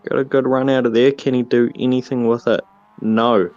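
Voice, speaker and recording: A man with a New Zealand accent co-commentating an online car race, joking and getting excited at the action. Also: mid-range voice, moderate pace. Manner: jokey, excitable on track action. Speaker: sim racing co-commentator. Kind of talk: casual live race commentary. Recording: dull lo-fi mic.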